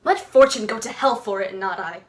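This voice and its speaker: A woman's voice: mid-range voice, brisk, close to an everyday voice. A woman acting a lovestruck, torn young noblewoman in a Shakespearean verse monologue, with hesitant, theatrical delivery. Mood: impassioned.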